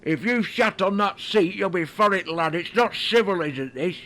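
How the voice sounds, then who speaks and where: A man's voice: high voice, lively intonation, moderate pace, sharp tone. A man with a Northern English accent voicing a crusty, foul-mouthed old uncle in a comedy dub. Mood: grumbling. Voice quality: gruff, scornful, swears a lot. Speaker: parody voice actor.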